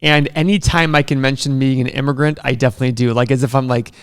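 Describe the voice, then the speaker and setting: A man's voice: mid-range voice, fairly even intonation, clean tone, dry recording, studio quality. A man born in Iraq co-hosting a podcast, joking and teasing in casual banter and laughing often. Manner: joking, quick to laugh, playfully skeptical. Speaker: podcast co-host.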